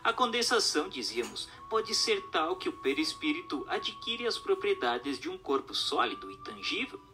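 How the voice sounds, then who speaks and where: A man's voice: fairly high pitch, very animated, normal speed, pushed and bright. A Brazilian man reading a book aloud as scripted narration, in a formal, didactic manner. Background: background music.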